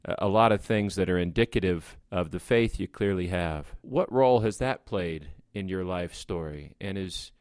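A man's voice: low voice, normal speed, few pauses, darkish tone, clean tone, no room sound, studio quality. A man hosting a broadcast interview who asks questions in an earnest, respectful way. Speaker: host of a veteran profile show.

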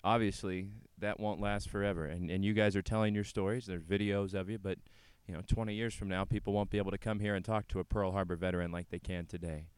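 Deep, clean-voiced man hosting radio. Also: steady tone, fast, hardly any pauses, smooth, dry recording. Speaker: radio host and interviewer. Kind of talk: radio interview and show links.

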